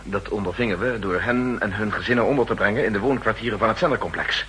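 An actor playing a worried, earnest official seeking help, speaking formally and tensely in a 1960s radio drama. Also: male voice, lowish voice, quick pace, a bit bright, lightly acted. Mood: anxious, earnest. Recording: noisy, humming old radio recording.

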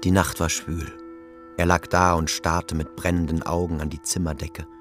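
An actor playing the detached narrator of a literary radio play. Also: male voice, sits low, level tone, normal speed, lightly acted. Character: detached male storyteller of a city novel. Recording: music behind the voice.

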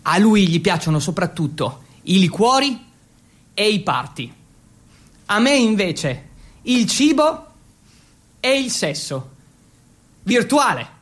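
A man's voice: high voice, sing-song, slow pace, long pauses, a bit bright. A male stage actor delivers a dramatic monologue in the role of a teenage exchange student. His telling is theatrical and direct to the listener, dwelling on each item in his lists with relish. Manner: theatrical, listing things with relish. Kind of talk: dramatic monologue in the second person.

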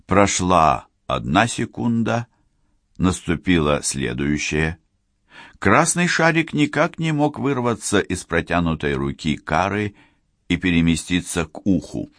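A man reads a novel aloud with a measured, expressive delivery. His voice is very deep and swings widely in pitch. The sound is close and dry. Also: dry recording. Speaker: audiobook narrator. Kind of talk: scripted audiobook narration.

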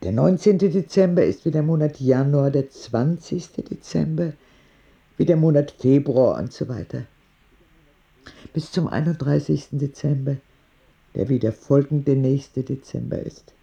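A man playing a kindly old woman visiting a hospital in an audio drama, speaking in a gentle, measured, reassuring way. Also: medium pitch, vocal fry, muffled recording. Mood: patient, storytelling.

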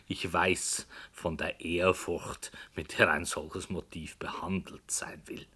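An actor playing a brooding, hesitant author who tells a dark story in a slow, hushed voice that sometimes drops to a whisper, in 1960s radio play style. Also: male voice, low voice, flat delivery, breathy edge, full character voice. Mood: musing.

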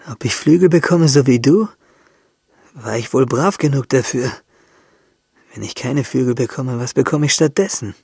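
A man playing a freezing, exhausted shipwrecked fisherman, alone and delirious at sea, in a halting, breathy radio drama monologue. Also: mid-range voice. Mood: delirious, pleading. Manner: halting, rambling, breathy whispers breaking into pleading. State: cold, exhausted.

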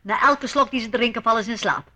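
An actress playing a brisk, eccentric older aristocratic aunt in a radio play, chatty, commanding and fondly scolding. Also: female voice, on the high side, pushed and bright. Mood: bossy, exasperated.

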